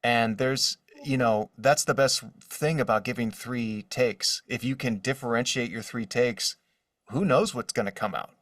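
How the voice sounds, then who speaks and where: A man's voice: mid-range voice, unhurried, a bit bright, no room sound. Slightly distant male voice actor, chatting casually. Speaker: voice actor, interview guest. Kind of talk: casual podcast interview.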